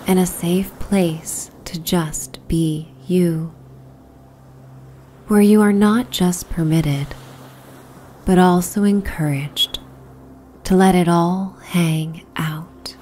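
A woman narrating a guided sleep meditation in a soothing, hushed, breathy and unhurried way. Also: fairly low pitch, fairly even intonation, slight background noise. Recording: soft background ambience soundscape. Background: relaxing ambient soundscape.

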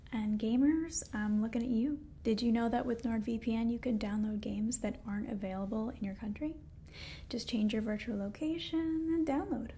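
A woman in her twenties giving a sponsor read in a breathy ASMR whisper. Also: on the high side, dark tone, slight background noise. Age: 20s. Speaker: ASMR host reading a sponsor message.

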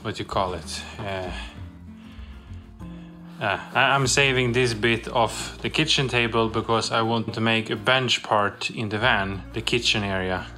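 A young adult man talking casually as a vlogger, in a halting, reflective way. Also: on the low side, pushed and bright, faint music behind. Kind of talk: casual vlog narration.

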